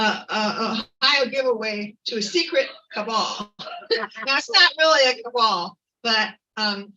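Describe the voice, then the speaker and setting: A woman giving an earnest, informal activist talk at a community meeting, halting with fillers and restarts. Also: a little high, bright, forceful tone, distant mic, lo-fi sound. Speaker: activist speaker.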